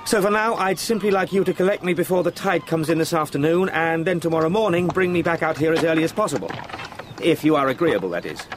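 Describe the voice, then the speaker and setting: A man acts an eager young English gentleman in a radio drama. His voice sits a little high, and his speech is formal and polite, with hesitant asides. Busy outdoor effects sound clearly behind him. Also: quick pace, slight background noise, natural voice. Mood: brisk, courteous. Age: young adult.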